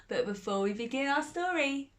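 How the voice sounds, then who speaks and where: Bedtime storyteller, woman; playful and unhurried, with a lively, swooping voice. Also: high voice, slight background noise. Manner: playful, animated, laughs often. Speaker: storyteller host. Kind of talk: bedtime story reading for children.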